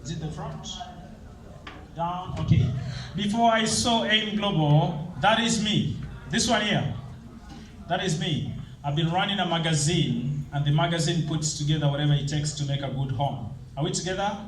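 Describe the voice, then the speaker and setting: A man's voice: fairly high pitch, normal speed. A man from Uganda, presenting a motivational seminar and drilling the audience with call-and-response questions. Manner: energetic, rousing, drills the crowd with repeated questions. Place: large hall with audience. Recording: echoey hall, distant mic, crowd noise.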